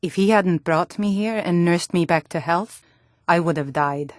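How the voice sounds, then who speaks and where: An actress playing stern, earnest Nord warrior women and town guards in a fantasy video game, speaking in a firm, measured way. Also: female voice, lowish voice, no echo, studio quality, lightly acted. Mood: earnest, resolute. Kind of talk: video game character dialogue.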